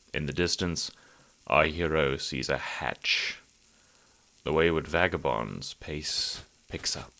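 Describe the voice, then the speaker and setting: Deep, gravelly man narrating with long reverb. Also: a bit bright, slight background noise. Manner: theatrical, deliberate storytelling. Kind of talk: scripted audio drama narration.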